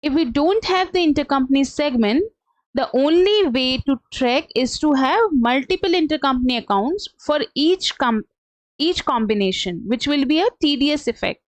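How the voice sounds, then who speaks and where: A woman with a fairly high voice leads a live software walkthrough as a trainer. She explains things step by step, halting as she goes. The sound is fairly dry and close, with little room around it. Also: expressive, no room sound. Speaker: software trainer presenting a webinar. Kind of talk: live instructional screen-share walkthrough.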